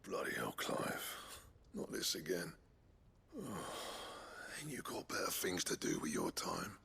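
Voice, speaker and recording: An actor playing a gruff, proud master blacksmith who grumbles and swears bluntly in a video game. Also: male voice, low voice, wildly swooping pitch, takes it slowly, bright, forceful tone, full character voice. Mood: weary, irritated. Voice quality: gravelly. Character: gruff, proud, foul-mouthed village-born master blacksmith.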